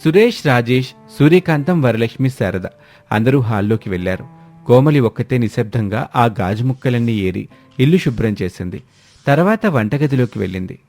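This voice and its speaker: A male storyteller with a mid-pitched voice reads a scripted family drama. His narration is dramatic, and music plays behind him. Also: animated, fairly quick, darkish tone.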